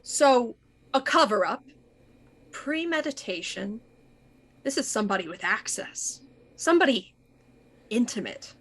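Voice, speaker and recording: An actress playing a shrewd, composed police inspector in a radio murder mystery, deliberate and probing. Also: female voice, on the high side, big pitch swings, a bit bright, heavily acted.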